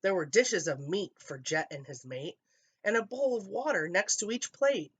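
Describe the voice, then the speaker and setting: A woman storyteller reading a rhyming children's story aloud in an expressive way. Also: mid-range voice, moderate pace, husky.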